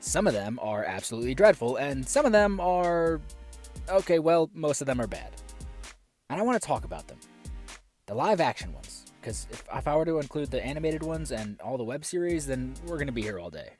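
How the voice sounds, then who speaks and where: A man's voice: on the high side, big pitch swings, brisk, a little mellow. Male reviewer, scripted commentary, dry sarcastic asides, bouncy intonation, faint music bed. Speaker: film reviewer.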